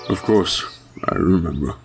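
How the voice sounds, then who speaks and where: A man voicing a gentle, grieving fatherly monster king, soothing and calm, in a fan dramatic reading with music behind him. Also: low-pitched, measured pace, slight background noise. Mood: fond, sad. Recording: music bed with birdsong behind.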